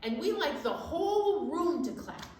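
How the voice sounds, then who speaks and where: High-voiced woman hosting storytime in echoey room. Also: big pitch swings, measured pace, darkish tone, slight background noise. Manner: exclaiming, encouraging, addresses young children. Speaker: children's library storytime host. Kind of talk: children's storytime chat and sing-along. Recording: echoey room, distant microphone.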